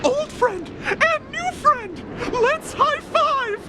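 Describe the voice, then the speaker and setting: A woman voicing a chirpy, friendly cartoon clock creature in a game, exuberant and drawn-out. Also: very high-pitched, expressive, noisy background, heavily acted. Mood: gleeful.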